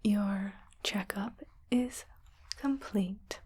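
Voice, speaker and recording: Casting: woman as gentle sci-fi ship's doctor for ASMR roleplay, very breathy whisper, slow and soothing. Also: mid-range voice. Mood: calm, clinical. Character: calm, reassuring female spaceship doctor examining crew. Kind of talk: soft ASMR medical roleplay.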